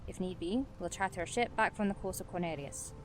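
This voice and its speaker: A woman acting a dignified, measured fantasy ranger. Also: on the low side, soft background music, lightly acted. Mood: thoughtful, practical. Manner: deliberate, formal. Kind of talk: fantasy audio drama acting.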